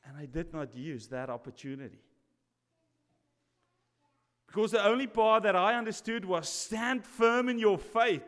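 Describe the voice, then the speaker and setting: Pastor guy at the pulpit, high voice, acts out the whole back and forth, churchy reverb. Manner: animated storytelling, acting out both sides of a conversation. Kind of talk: sermon. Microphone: stand mic at pulpit. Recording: long reverb of a church hall.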